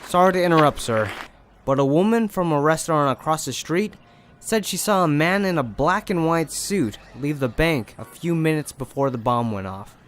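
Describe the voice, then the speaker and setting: Guy acting respectful junior cop, voice on the high side, audio crime drama. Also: expressive, moderate pace, a little hiss or hum, natural voice. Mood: dutiful. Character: dutiful, deferential male junior police officer.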